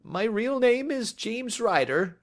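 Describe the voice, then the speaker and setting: A man voicing a nervous little hotel attendant, with a high, thin, quavering voice in an audiobook reading. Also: lively intonation, takes it slowly, heavily acted. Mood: shaken. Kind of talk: audiobook character voice.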